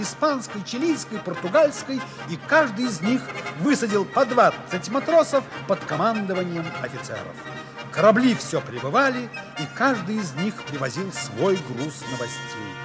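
A man's voice: high voice, very animated, moderate pace. A man giving dramatic, mock-solemn scripted narration for a 1960s Soviet radio play. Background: music.

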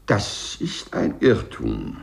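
A courteous, eccentric prisoner in 1950s radio drama style. His fairly low voice is extremely animated, precise and formal, yet full of rapt, serene pride. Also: slow, creaky, a little hiss or hum, full character voice. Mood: courteous, earnest. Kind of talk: 1950s German radio play acting.

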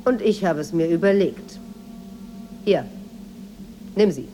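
An actress in a radio drama playing a watchful maid, deferential on the surface but measured and needling. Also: female voice, fairly low pitch, expressive, dark tone, a little hiss or hum. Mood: needling, defiant. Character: watchful, insinuating Moroccan maid, outwardly deferential, quietly defiant. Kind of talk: 1980s German radio drama acting.

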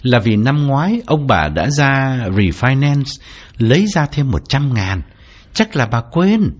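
Guy reading as a jumpy real estate agent, polite and hedging, voice swinging up and down a lot, slightly muffled audio with soft music. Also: mid-range voice, sing-song, takes it slowly. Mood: explaining. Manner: polite, hedging, explaining carefully. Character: middle-aged male property agent, nervous and placating. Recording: slightly dull, faint background music.

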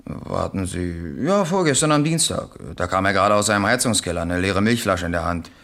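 An actor playing a brash works driver under questioning in a radio crime drama, brisk and slightly defensive. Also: male voice, deep voice, expressive, a bit bright. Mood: taken aback.

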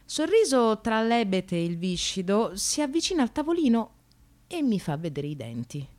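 An actress playing an exasperated, bitterly sarcastic receptionist in a dramatic radio monologue, shifting between muttering and outbursts. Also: female voice, medium pitch, sing-song, moderate pace, a little mellow, natural voice. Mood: contemptuous, deadpan.

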